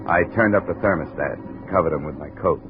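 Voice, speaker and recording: An actor playing a wry, blunt insurance investigator in a 1950s radio detective drama, speaking in clipped, sardonic phrases. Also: male voice, deep voice, a little fast. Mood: wry narration. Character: hard-boiled, wry male insurance investigator. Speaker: lead actor in a radio series. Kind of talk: 1950s radio detective drama, first-person narration and dialogue. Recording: tinny 1950 radio recording with music.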